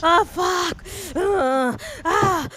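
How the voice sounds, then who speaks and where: A woman's voice: very high-pitched, extremely slow, pushed and bright, pitched above the performer's own voice, exaggerated voice. A woman playing a terrified, gut-shot robber who groans, gasps and swears in an amateur audio drama. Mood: agonized panic. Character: gut-shot, terrified, pleading wounded robber, moaning in agony.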